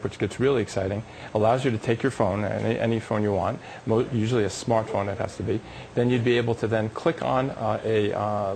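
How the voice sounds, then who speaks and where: A man's voice: on the low side, gravelly, a little mellow, a little hiss or hum, rough recording. A man being interviewed as a company executive on a live business TV show, explaining technology with emphatic enthusiasm.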